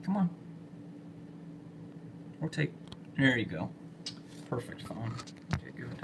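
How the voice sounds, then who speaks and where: A man chatting casually to livestream viewers as an amateur storm spotter, speaking haltingly with trailing pauses, on phone audio. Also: mid-range voice, very animated, vocal fry, background noise. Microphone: phone, held or propped nearby.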